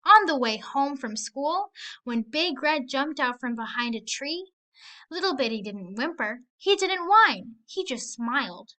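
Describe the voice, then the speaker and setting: A woman narrating a children's story aloud in an expressive read-aloud style. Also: high voice, a little slow. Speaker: storybook reader.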